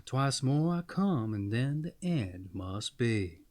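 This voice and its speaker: A man voicing a gentle, sorrowful young queen in a storybook, solemn as if chanting verse. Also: mid-range voice, sing-song, takes it slowly. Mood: mournful.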